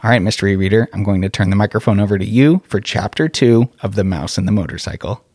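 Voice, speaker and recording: A man's voice: on the low side, fast talker, darkish tone, no room sound, studio quality. A man speaking as a podcast host, giving scripted announcements.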